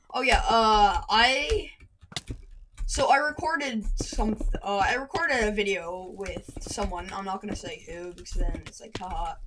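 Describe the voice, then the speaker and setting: A woman's voice: medium pitch, noisy background. A woman chatting casually while gaming, rambling through a story and breaking off to react to the game. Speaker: gaming commentator. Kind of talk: casual storytelling over live gameplay. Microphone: desk microphone at a computer. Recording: keyboard and mouse clicks throughout.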